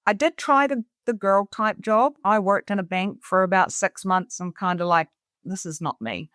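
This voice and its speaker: A woman's voice: medium pitch, steady tone, moderate pace, fairly bright tone, clean tone, no room sound. A middle-aged woman from New Zealand speaks with her native accent in a clean, resonant voice. She talks conversationally as an interview guest, and the sound is dry and close. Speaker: interview guest, cabin resort owner. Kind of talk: conversational personal storytelling.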